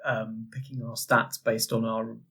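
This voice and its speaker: A man with a rough-edged, husky voice of average pitch talks as a game master. He explains things informally, in measured, halting stretches. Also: flat delivery, bright, forceful tone. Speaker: game master and podcast guest. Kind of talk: casual explanatory chat.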